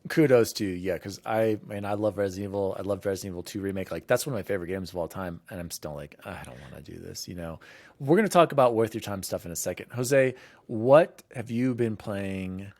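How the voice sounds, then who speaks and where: A man hosting a casual gaming podcast, talking in an informal, animated, rambling way. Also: lowish voice, brisk. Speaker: podcast host.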